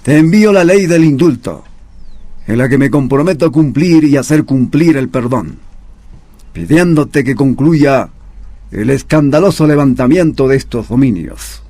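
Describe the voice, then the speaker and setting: An actor playing a stern Spanish colonial commander, reading a threatening letter aloud in a formal, measured, declamatory way for a radio drama. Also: male voice, medium pitch, lively intonation, mellow, no echo.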